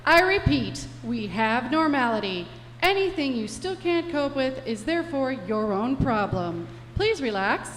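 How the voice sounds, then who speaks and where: Casting: actress as composed female space traveller, high voice, matter-of-fact, radio play staged in an echoey hall. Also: sing-song, measured pace, a little hiss or hum. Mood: calm, announcing. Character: calm, practical, patient woman starship crew member. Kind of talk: live radio-play acting. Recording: echoey hall with music behind.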